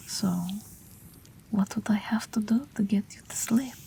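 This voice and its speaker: A woman playing a gentle witch aviator in an audio roleplay, speaking in a soothing, breathy whisper as if at a bedside. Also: mid-range voice, a little slow, slight background noise. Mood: tender, soothing. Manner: soft, soothing, hesitant, with gentle amusement. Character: gentle night witch aviator, old but youthful, soothing a patient. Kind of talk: whispered audio roleplay, intimate bedtime monologue.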